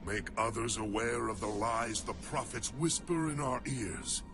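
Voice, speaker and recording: A man's voice: mid-range voice, natural voice. A man voicing a loyal alien warrior in a game cutscene, solemn, formal and resolute yet mournful. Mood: solemn resolve. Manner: solemn, formal, measured phrasing. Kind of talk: dramatic game cutscene acting. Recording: loud music underneath.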